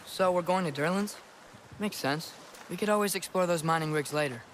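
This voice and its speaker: A man's voice: sits high, fairly quick, background noise, lightly acted. An actor playing an earnest, curious teenage boy adventurer in a video game, with an eager, casual way of talking. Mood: inquisitive. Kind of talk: naturalistic video game acting.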